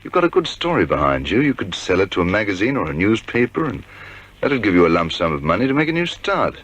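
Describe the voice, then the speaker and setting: A man's voice: lowish voice, big pitch swings, quick pace, fairly bright tone, poor audio. An actor playing a courteous, earnest male police detective in a radio drama, speaking politely and with sympathy. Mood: gently coaxing.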